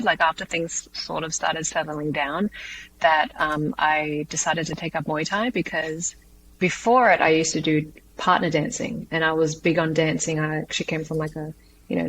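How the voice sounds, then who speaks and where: Low-voiced Australian woman, faint hum. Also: fairly bright tone, low-quality recording. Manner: thoughtful, many "um"s. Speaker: guest, business founder. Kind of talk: casual interview answers.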